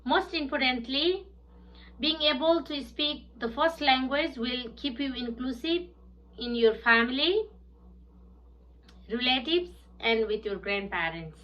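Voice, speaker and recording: A woman in her thirties from southern Bhutan with a Nepali accent, speaking English as a second language in an earnest, halting personal presentation, often pausing to search for words. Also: high-pitched, animated, sharp tone. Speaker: student presenter. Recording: muffled lo-fi recording.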